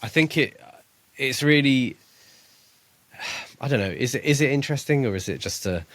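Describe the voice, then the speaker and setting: A man's voice: medium pitch, expressive, moderate pace, a bit bright, no room sound. A man co-hosting a podcast, talking about a book in a hesitant way, with many "um"s and "yeah"s. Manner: hesitant, lots of "um" and "yeah", laughs easily. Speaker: podcast co-host. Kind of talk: casual book discussion.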